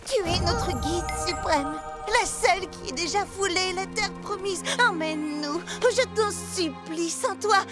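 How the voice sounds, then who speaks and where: A devoted rabbit comrade speaks with solemn earnestness in a very high voice. Music plays behind. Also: female voice, measured pace, few pauses. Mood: pleading. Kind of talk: cartoon voice acting. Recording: studio voice over music.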